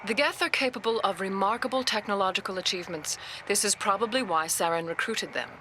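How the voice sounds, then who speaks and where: Casting: actress as diplomatic alien stateswoman, clear-cut bright tone, formal and measured game dialogue, faint noise. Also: mid-range voice, natural voice. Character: poised, diplomatic female alien councilor. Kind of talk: video game voice acting.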